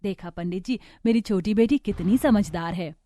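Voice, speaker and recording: A woman voicing a gentle, reasonable middle-aged village mother in a Hindi cartoon story, calm and soothing. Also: mid-range voice, normal speed, faint music behind, lightly acted. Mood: concerned.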